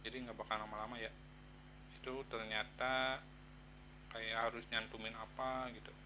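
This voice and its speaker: A man chats casually to viewers in a product review, halting and thinking aloud in slangy, informal speech. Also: mid-range voice, level tone, bright, forceful tone, slight background noise, low-quality recording.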